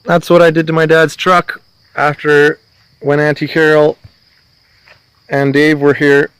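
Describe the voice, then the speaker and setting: Middle-aged man, high voice, angry, emphatic, heavy swearing. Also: completely flat delivery, unhurried, a bit bright, clear, resonant tone, no room sound, low-quality recording. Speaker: van-dwelling vlogger. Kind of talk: agitated venting to a police officer. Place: outdoors at a rural property.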